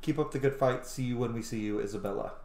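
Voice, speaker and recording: A man's voice: mid-range voice, quick pace. Man in an echoey room, chatting casually. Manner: informal, sweary, thinks out loud. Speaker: gaming video host. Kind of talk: casual game commentary mixed with reading letters aloud. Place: small room at home, indoors. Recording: roomy, echoey home recording.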